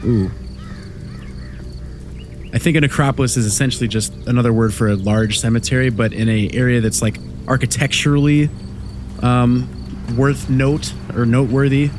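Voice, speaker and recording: A man's voice: medium pitch, fairly even intonation, moderate pace, pauses often, noisy background. Stuffy-voiced young man gaming. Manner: rambling, easygoing, chatty. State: stuffy from a cold. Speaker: gaming channel host. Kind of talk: casual let's play commentary. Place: home room at night.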